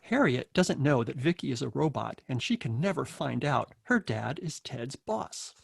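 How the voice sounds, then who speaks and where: A man's voice: medium pitch, sing-song, normal speed, gravelly, breathy edge, no echo, studio quality. A man hosting a table read, reading out introductions and stage directions. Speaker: host and narrator of a table read.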